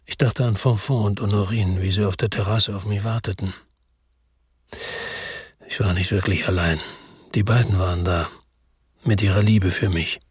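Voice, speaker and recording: A man's voice: lowish voice, fairly even intonation, moderate pace, long pauses, slightly rough, dry recording. A man narrating a crime radio drama in first person, brooding and weary, his tone turning bitter.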